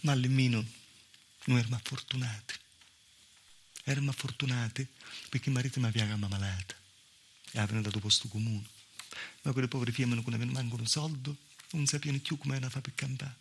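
A man's voice: mid-range voice, steady tone, fast talker, pauses often, a little hiss or hum, close to an everyday voice. A male stage actor playing a weary, mistreated southern Italian village wife, telling the story in a colloquial, confiding monologue with a Calabrian accent. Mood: weary, resigned. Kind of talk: theatrical monologue in dialect.

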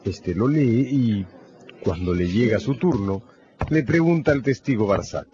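A man narrating a radio novel in a scripted, dramatic storytelling style with measured phrasing. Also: fairly low pitch, lively intonation, muffled recording, noisy background.

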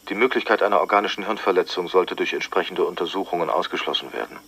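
Deep-voiced, sober psychiatrist. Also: male voice, sharp tone, full character voice. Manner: measured, clinical, matter-of-fact. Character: sober, clinical male psychiatrist giving his assessment. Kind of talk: formal expert report in radio drama.

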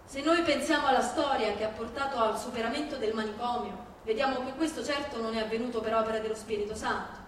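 A woman's voice: on the high side, quick pace, hardly any pauses, slight background noise. A woman giving a theatrical scripted narration as a stage reading set to music. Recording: echoey hall from far off, music behind.

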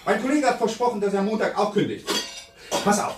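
An actor playing a young, uneasy lawyer with an evasive, placating manner in a German radio crime drama. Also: male voice, sits high, fast talker, background noise, lightly acted. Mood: optimistic.

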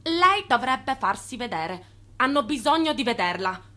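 Radio drama: agitated aide, high-pitched, piercing, insistent and repetitive. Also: female voice, big pitch swings, unhurried, pushed and bright, exaggerated voice. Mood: urgent. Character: agitated, urgent female aide to a financier.

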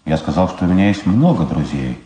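An actor playing a proud, principled blind war veteran in a radio drama, measured, restrained and ironic. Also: male voice, low voice, very animated, warm tone, echoey room. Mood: guarded, matter-of-fact.

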